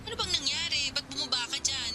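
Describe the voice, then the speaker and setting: A woman's voice: high-pitched, quick pace, lightly acted. A woman playing an older sister anxiously questioning her younger sibling over the phone in a radio drama. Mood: worried. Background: background music.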